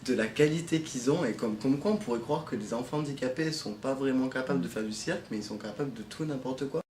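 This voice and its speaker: A man's voice: mid-range voice, quick pace, darkish tone. Young man, former volunteer, hesitant, restarts a lot, echoey room, faint hum. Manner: hesitant, many restarts and fillers. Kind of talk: casual testimonial.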